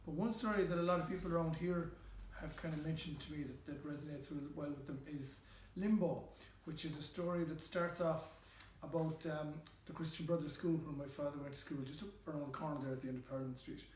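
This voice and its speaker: A man's voice: a little high, steady tone, brisk, few pauses, a little mellow, roomy sound, a little hiss or hum, poor audio. A man with a west of Ireland accent guiding an informal literary tour as a storyteller. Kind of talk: informal guided-tour talk with readings aloud.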